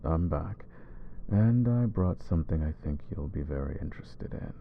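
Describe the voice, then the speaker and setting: A man voicing a brooding male vampire who hunts vampires, soft-spoken and calm in an ASMR roleplay. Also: very deep voice, moderate pace, gravelly, phone-quality sound, a little hiss or hum, exaggerated voice. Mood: weary.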